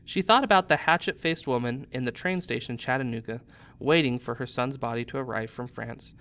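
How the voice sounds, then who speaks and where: A man's voice: medium pitch, fairly quick, a bit bright. A man in his thirties, a teacher, narrating a storybook aloud expressively.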